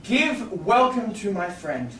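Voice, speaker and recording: A man playing a grateful young gentleman in a staged Shakespeare reading. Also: sits high, big pitch swings, slow, exaggerated voice. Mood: gracious. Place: small theatre stage, far audience camera. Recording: echoey stage, distant, faint noise.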